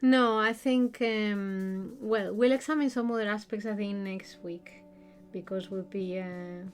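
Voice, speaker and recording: A woman's voice: medium pitch, fairly even intonation, unhurried, clean tone. A woman with a mid-range voice, chatting casually as a podcast co-host. She speaks hesitantly, with faint music behind her.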